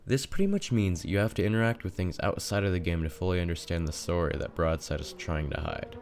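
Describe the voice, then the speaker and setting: A man's voice: sits low, fast, a little mellow. A man narrating a scripted video essay as a video game reviewer.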